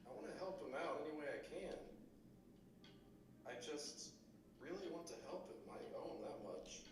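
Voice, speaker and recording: A man's voice: a little high, lively intonation, moderate pace, roomy sound, distant mic, noisy background, pitched above the performer's own voice, lightly acted. A man voicing a young rookie defense lawyer who is earnest and a little nervous, in a fan voice-over of a game.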